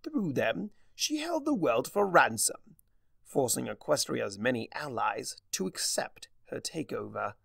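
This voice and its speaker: Trickster spirit, played by a male narrator: theatrical, smug, grandly explanatory, highly animated pitch, touch of gravel. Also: a little slow, no room sound, clean studio sound, full character voice. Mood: sardonic, lecturing. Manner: drawling, mocking, grandly explanatory.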